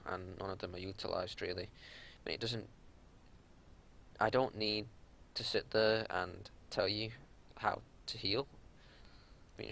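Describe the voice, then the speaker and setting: Man, lowish pitch, heavy vocal fry, unscripted raid-leader commentary, exasperated matter-of-fact explaining. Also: a bit bright, slight background noise. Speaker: raid leader reviewing gameplay. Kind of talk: unscripted game analysis commentary.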